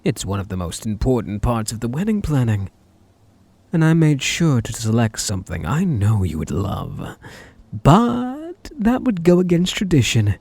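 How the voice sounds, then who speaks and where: A man's voice: mid-range voice, sing-song, unhurried, a little mellow, slightly rough, no echo, full character voice. A man playing a possessive, obsessive kidnapper groom in an intimate ASMR roleplay, gleefully menacing and cloyingly sweet as he taunts. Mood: giddy teasing. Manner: cloying endearments, mock-sweet taunting, swinging into giddy mania.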